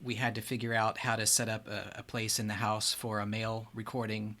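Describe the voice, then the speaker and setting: A man's voice: lowish voice, level tone, fairly quick, pushed and bright. A man speaking as a podcast host in a casual, conversational interview style.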